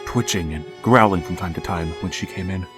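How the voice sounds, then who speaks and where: A man's voice: low-pitched, a little fast, natural voice. An actor playing a bereaved father who narrates his horror and pleads in anguish in a radio drama. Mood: haunted. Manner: grave storytelling, breaking into anguish. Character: grieving older father narrating, haunted, tormented. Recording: radio play with faint violin music.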